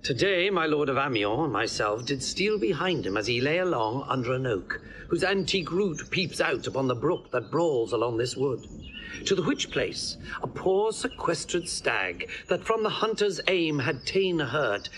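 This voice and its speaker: An actor playing a courtly lord who recounts a scene to his duke in classical stage style, animated and amused. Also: fairly high pitch, moderate pace, a little hiss or hum. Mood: amused recounting. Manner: animated, mimics another man's sayings. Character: courtly male lord, storyteller of the deer. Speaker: lord attending the exiled duke.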